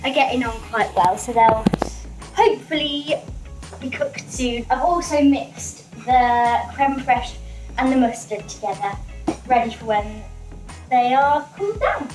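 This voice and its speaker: A woman's voice: on the high side, unhurried, darkish tone. A woman chatting casually and cheerfully as she talks through a recipe she is cooking at home. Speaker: home cook presenting a recipe. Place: home kitchen, indoors. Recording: roomy kitchen with music and clattering dishes.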